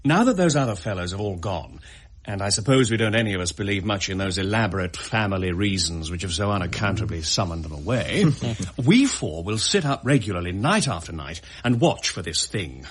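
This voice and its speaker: An actor playing a confident, sceptical gentleman host in a period audio drama, speaking eloquently and formally with a hearty, husky voice. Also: low voice, big pitch swings, a little fast, heavily acted. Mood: resolute, jovial.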